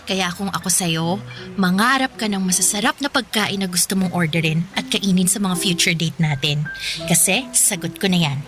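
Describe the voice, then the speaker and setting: A woman's voice: lowish voice, normal speed, over music, close to an everyday voice. A woman playing a playful, ambitious college girlfriend in a radio drama, speaking conversationally with a Filipino accent. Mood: reassuring, determined.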